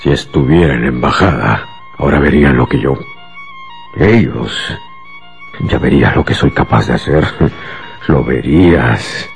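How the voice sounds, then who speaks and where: An agitated husband in a radio play, pleading and bitter by turns. His deep voice moves dramatically up and down, theatrical and insistent, over faint guitar music. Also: lively intonation, moderate pace, gravelly, long pauses, heavily acted. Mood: angry, boastful.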